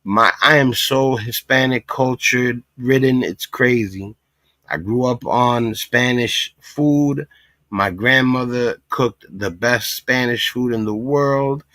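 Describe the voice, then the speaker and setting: A man from Puerto Rico hosting a livestream, speaking at an average pitch and stressing his points. The recording is dry, with little room sound. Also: slow, sharp tone, no echo. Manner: emphatic, talks in repeated asides. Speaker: livestream commentary host. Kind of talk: casual reaction commentary.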